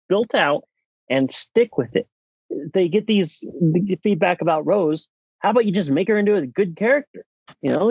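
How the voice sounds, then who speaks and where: A man's voice: on the high side, darkish tone, breathy edge, no echo, rough recording. A man co-hosting a casual film discussion podcast, speaking in an opinionated, emphatic way. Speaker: podcast co-host.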